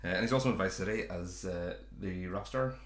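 A man's voice: sits low, brisk, a bit bright, reverberant. A man hosting a podcast, chatting casually about a sitcom in a rambling, self-correcting way. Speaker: podcast host.

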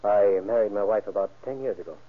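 A man's voice: on the low side, fast talker, natural voice. An actor playing a guarded middle-aged businessman who answers politely and hesitantly in an old-time radio crime drama. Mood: reluctant, explanatory. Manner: polite, hesitant, carefully explanatory. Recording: thin, muffled old radio recording.

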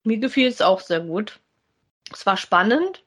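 A woman's voice: mid-range voice, sing-song, a little slow, a bit bright. A woman co-hosting a podcast, talking casually and conversationally as she reviews audio dramas with a partner. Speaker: podcast co-host.

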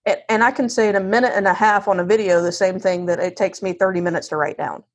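A woman's voice: medium pitch, fairly even intonation, fast talker. A woman with a Southern US accent chatting candidly and self-deprecatingly as a podcast guest, often acting out quotes of herself and others. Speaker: podcast guest, divorce lawyer. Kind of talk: casual conversational interview.

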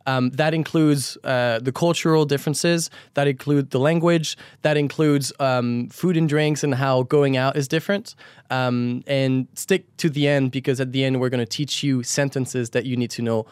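A young man with a French accent talks casually as a podcast co-host. His mid-pitched voice is very smooth and clear, recorded dry without any echo. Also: steady tone, moderate pace. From France. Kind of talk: conversational podcast hosting.

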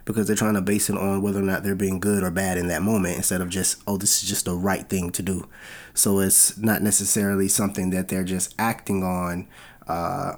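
A man co-hosting a podcast with his wife, a little raspy from a cold, talking in an earnest, loose way with many fillers. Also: lowish voice. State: has a cold, slightly raspy. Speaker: podcast co-host. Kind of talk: casual podcast chat.

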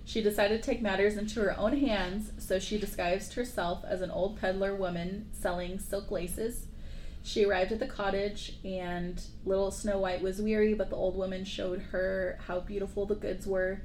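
Woman, podcast host, casual fairy-tale storytelling, slangy, medium pitch, roomy echo, faint background noise. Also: level tone, moderate pace, a little mellow. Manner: informal, slangy, joking asides.

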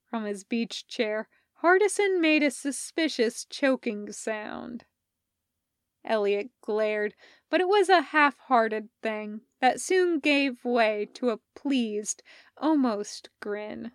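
Woman narrating a story, kinda high voice, takes it slow, really dry close recording. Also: expressive, clear, resonant tone, no echo. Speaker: podfic narrator. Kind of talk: scripted narration, audiobook-style fan fiction reading.

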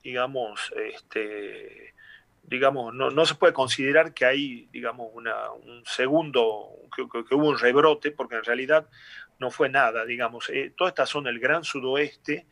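A man's voice: mid-range voice, unhurried, creaky, fairly bright tone, no room sound. A middle-aged man from Tucumán, Argentina, with an Argentine Tucumán accent, talking casually as an interview guest, hesitant with many fillers and restarts. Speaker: singer and former rugby player, guest.

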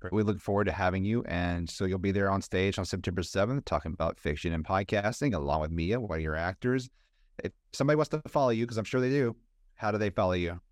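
A man's voice: low voice, fast talker, dry recording, studio quality. An American man hosting a conversational interview, speaking in an earnest, encouraging and thoughtful way. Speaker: podcast host interviewing a guest.

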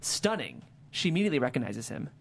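Woman narrating screenplay directions, varied pitch. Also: sing-song, fast, gravelly. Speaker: stage-direction reader.

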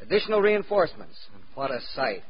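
An actor playing an eager American war correspondent, narrating in a brisk, dramatic 1940s radio style on an old recording. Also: male voice, fairly high pitch, sing-song, highs cut off, slight background noise. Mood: excited, expectant.

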